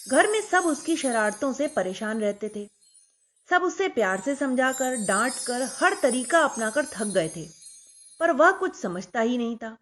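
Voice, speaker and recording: High-voiced woman telling bedtime stories. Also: a bit bright. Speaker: children's storyteller. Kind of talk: scripted bedtime story narration.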